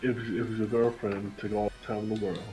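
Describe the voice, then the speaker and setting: A man in a casual voice chat, speaking haltingly and repeating phrases for emphasis. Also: lowish voice, flat delivery. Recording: music clearly behind voice.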